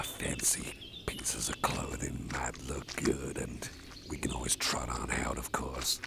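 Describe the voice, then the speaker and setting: A man whispering softly and slowly in an ASMR roleplay as a caring boss carrying the listener. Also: rumbling low, gravelly, very noisy. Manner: slow, gentle, mostly whispered, soothing. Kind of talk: soft-spoken ASMR roleplay. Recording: loud outdoor nature ambience behind. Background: rural outdoor sounds with birds.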